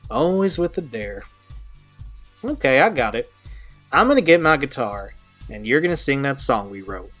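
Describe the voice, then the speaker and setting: A man's voice: medium pitch, big pitch swings, moderate pace, pauses often, a bit bright, soft background music. A man playing an easygoing, wry young guitarist friend, sheepish but good-humoured, in an audio drama. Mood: playful. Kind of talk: amateur audio drama acting.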